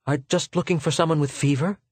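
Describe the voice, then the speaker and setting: Guy doing a scared young lady in an audiobook, higher voice, demanding. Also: steady tone, fairly quick, no echo, clean studio sound, close to an everyday voice. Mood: fearful. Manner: fearful, demanding. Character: fearful young woman, demanding answers.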